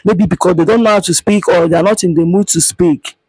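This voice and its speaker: Nigerian woman, vlogger, emphatic and scolding, kept quiet outdoors, raspy tone, dry sound. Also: lowish voice, steady tone, a little fast, no room sound. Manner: speaking quietly. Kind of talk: impassioned monologue to camera.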